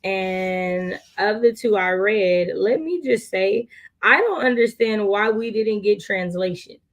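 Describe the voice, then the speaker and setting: An American woman co-hosting a casual book chat, telling things in an animated, slangy way. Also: medium pitch, slow pace. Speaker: book readalong co-host.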